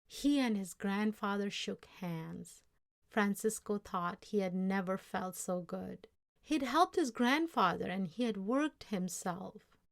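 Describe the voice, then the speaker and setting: Female storybook narrator: very unhurried, deliberate, mid-pitched read-aloud. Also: creaky. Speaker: story reader.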